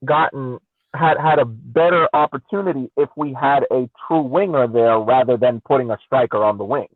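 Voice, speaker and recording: A man's voice: mid-range voice, normal speed, no echo. Man on a tinny, phone-like line. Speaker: podcast panelist. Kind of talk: casual sports debate and analysis. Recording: tinny phone-like call audio.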